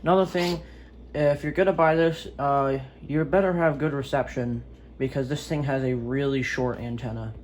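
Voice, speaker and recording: A man's voice: medium pitch, fairly even intonation, normal speed, darkish tone. Male gadget reviewer, casual and rambling, unscripted, with some background noise on a homemade recording.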